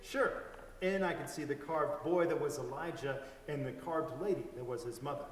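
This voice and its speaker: A man playing an earnest, observant young boy in a measured storybook reading. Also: medium pitch, distant mic, lightly acted. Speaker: reader in a dramatic story reading. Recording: echoey hall from afar, faint hiss.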